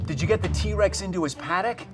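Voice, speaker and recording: An actor in his forties playing a bumbling, excitable park ranger in a children's live-action show, recorded outdoors. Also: brisk, close to an everyday voice. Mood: cheerful. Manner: goofy, excitable, lively pitch. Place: outdoors in dry woodland. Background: music.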